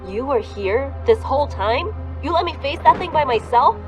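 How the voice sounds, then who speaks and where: A woman's voice: high-pitched, lively intonation, normal speed, over music. A woman playing a guarded, dutiful young soldier in a video game, speaking in a curt, businesslike way. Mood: indignant, guarded. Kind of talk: video game cutscene acting.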